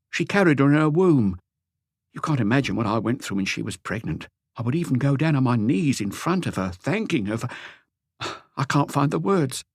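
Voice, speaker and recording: A man voicing an anguished, lonely, meek husband in an audiobook, pleading and rambling haltingly through a confession. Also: medium pitch, dry recording, clean studio sound, pitched above the performer's own voice. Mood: pleading, wistful. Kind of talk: audiobook character dialogue.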